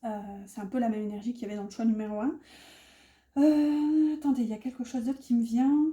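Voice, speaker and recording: A woman's voice: a little high, a little fast, mellow. A woman giving a casual, improvised tarot reading to viewers, chatty and often searching for her words. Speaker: tarot reader hosting an online guidance. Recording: roomy, echoey sound.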